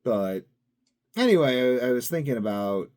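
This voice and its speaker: A man's voice: mid-range voice, big pitch swings, moderate pace, a little mellow. A man talking to his own video channel in a casual, rambling monologue, musing and drifting from thought to thought with plenty of fillers. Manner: musing, drifting between topics with frequent fillers. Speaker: vlogger.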